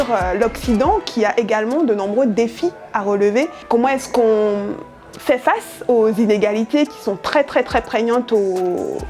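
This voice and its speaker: A young woman whose first language is Yoruba talks in a fairly high voice, earnest and reflective, often pausing on soft fillers as she builds her thoughts. Faint music plays quietly behind her. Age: young adult. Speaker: journalist and editorial project lead. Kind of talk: interview monologue about her work.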